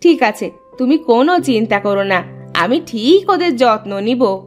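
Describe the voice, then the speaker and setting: A woman playing a spirited, expressive village wife in a cartoon story. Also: a little high, a little slow, soft background music. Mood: caring. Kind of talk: Bengali cartoon story voice acting.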